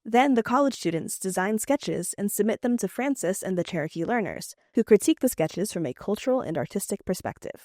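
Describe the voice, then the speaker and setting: A woman's voice: medium pitch, animated, fairly quick, few pauses, a little mellow, no echo. Woman reporter with a dry studio read. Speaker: radio reporter. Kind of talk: scripted news narration.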